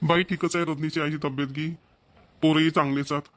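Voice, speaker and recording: A man's voice: on the high side, little pitch movement, a little fast, fairly bright tone, faint music behind, natural voice. A man playing a doting, proud middle-aged village father in a cartoon, with a rural Maharashtrian Marathi accent and earnest, homely manner. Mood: chatty. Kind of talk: Marathi cartoon family comedy voice-over.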